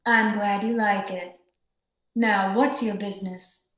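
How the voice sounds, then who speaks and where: A woman playing a tough, sharp-tongued femme fatale in an old-time radio detective play, curt and sardonic. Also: mid-range voice, steady tone, slow pace. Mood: cool, suspicious. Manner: curt, hard-boiled, sardonic. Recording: echoey room sound.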